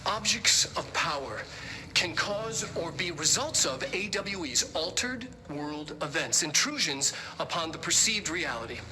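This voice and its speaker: Excitable oddball scientist guy in a game, highish lively voice, lecturing, noisy background. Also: unhurried. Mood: intense, lecturing. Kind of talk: video game character acting. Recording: clearly noisy background ambience.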